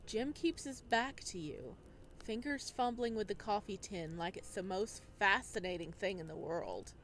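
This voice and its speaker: A woman's voice: medium pitch, moderate pace, a bit bright, a little hiss or hum, rough recording. A woman hosting a game playthrough, reading narration aloud dramatically and adding casual commentary. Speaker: video game playthrough host.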